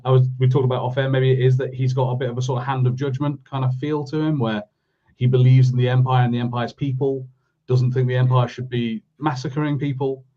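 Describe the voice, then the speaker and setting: A man's voice: mid-range voice, level tone, a little fast, dull audio. A man co-hosting a podcast, talking through a review casually in a rambling, questioning way. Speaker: podcast co-host.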